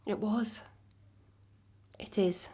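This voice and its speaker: Female actor, intimate radio play: loving, steadfast young girlfriend, medium pitch, understated and conversational, gentle delivery. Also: fairly even intonation, extremely slow, slightly rough. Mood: quietly resolute. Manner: soft, conversational, reassuring. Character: Chloe, devoted, forgiving young girlfriend, gently resolute. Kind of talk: intimate naturalistic audio drama acting.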